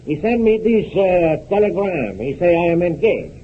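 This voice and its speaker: An actor playing a flustered, insistent Italian musician with an Italian accent and broken English, in 1930s radio comedy style. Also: male voice, high voice, very animated, normal speed. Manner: repetitive, agitated, broken English. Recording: muffled, noisy 1930s radio recording.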